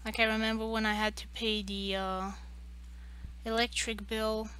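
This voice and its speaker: A woman with a squeaky voice chatting casually while she draws on a stream, rambling with lots of fillers and restarts. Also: level tone, moderate pace, fairly bright tone, clean tone. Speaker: art streamer. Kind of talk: casual chat while drawing.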